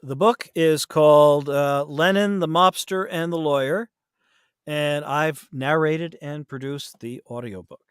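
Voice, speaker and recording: A man's voice: on the high side, a little slow, smooth, dry recording, clean studio sound. A man chatting casually as a podcast guest, rambling and self-deprecating, often trailing off mid-thought. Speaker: podcast guest, audiobook narrator.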